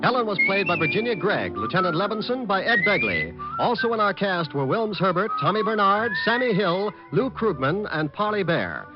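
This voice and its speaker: A man's voice: high voice, sing-song, normal speed, hardly any pauses. A male radio announcer reading scripted credits and promos in a polished, formal 1940s showman style. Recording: dull vintage radio broadcast, music behind.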